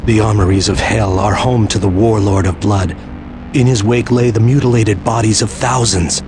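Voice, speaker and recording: An actor playing a grim, resolute adventurer in a video game, speaking in a solemn, declamatory way. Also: male voice, on the low side, fairly even intonation, normal speed, over music, close to an everyday voice. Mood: grim resolve. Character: grim male adventurer hero, resolute and stern.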